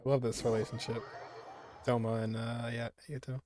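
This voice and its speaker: A man hosting a gaming video, giving casual, laid-back commentary in halting, repetitive phrases. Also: mid-range voice, level tone, noisy background. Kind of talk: casual let's-play commentary.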